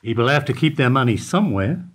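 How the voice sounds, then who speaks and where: An older actor playing a calm, philosophical bank clerk who gives short, evasive answers in a radio play. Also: male voice, medium pitch, slightly rough. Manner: measured, terse, evasive replies. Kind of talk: amateur radio play acting.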